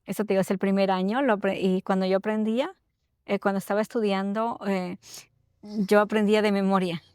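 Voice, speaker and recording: A middle-aged woman from Ecuador, telling her personal story haltingly as an interview guest, often checking in with tag questions. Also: medium pitch, steady tone, darkish tone, clear, resonant tone, dry recording, clean studio sound.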